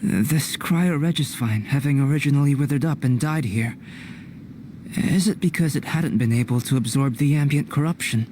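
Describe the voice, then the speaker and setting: An actor playing a calm, scholarly young alchemist in a video game, speaking in a measured, formal, detached way. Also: male voice, mid-range voice, natural voice. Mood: calm, analytical. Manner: measured, formal, analytical delivery. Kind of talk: scripted video game cutscene acting. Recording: clear noise behind the voice.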